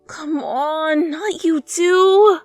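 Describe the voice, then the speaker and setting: A woman's voice: very high voice, drawn-out words, no room sound, pitched above the performer's own voice, exaggerated voice. A woman voicing a flustered, embarrassed teenage girl who stammers and blurts nervously, in an anime fan fiction reading. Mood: flustered denial. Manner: stuttering, blurting, nervous laughs. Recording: music behind the voice.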